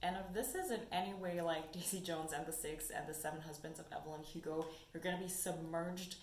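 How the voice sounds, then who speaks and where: A woman's voice: sits low, fairly quick. A young woman whose first language is German, chatting casually about books in a haul video and thinking aloud as she goes. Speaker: book vlogger. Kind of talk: casual book haul chat. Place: sunny room indoors. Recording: echoey room with faint noise.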